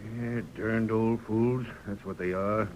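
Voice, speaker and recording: A man's voice: on the low side, steady tone, slow, darkish tone. An actor playing a blunt American frontier townsman with folksy, emphatic speech in a 1930s radio Western. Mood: fond, gruff. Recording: noisy 1930s radio recording.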